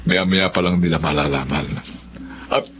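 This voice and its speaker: An actor in a radio drama playing a gentle, proud retired soldier and father with a calm, affectionate manner. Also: low voice, normal speed, slight background noise. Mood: cheerful. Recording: dull lo-fi radio, faint music.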